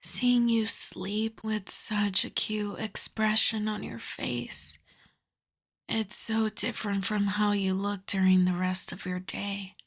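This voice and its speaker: Slow whispering seductive vampire woman voiced by an actress. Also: medium pitch, flat delivery, exaggerated voice. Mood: lovestruck confiding. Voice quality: soft, breathy whispering. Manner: slow, coaxing, doting. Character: seductive, possessive vampire woman, tender and lovestruck. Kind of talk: intimate whispered ASMR roleplay.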